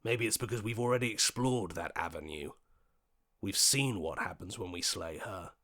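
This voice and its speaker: A man voicing a level-headed, questioning hero in a video game, speaking earnestly in short deliberate lines. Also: deep voice, vocal fry, a bit bright. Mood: pondering.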